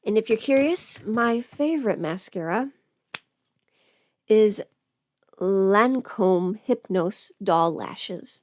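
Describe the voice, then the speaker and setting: A woman's voice: mid-range voice, expressive, takes it slowly, pauses often, dry recording. A woman chatting casually on a livestream while busy with her makeup, rambling and trailing off as if half talking to herself. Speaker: livestream host.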